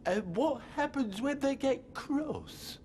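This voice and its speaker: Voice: high-pitched, expressive, takes it slowly, a little mellow, slight background noise. An actor voicing a grumpy, uneasy drop of alcohol in a cartoon, hesitant and complaining. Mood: puzzled. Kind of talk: cartoon voice acting.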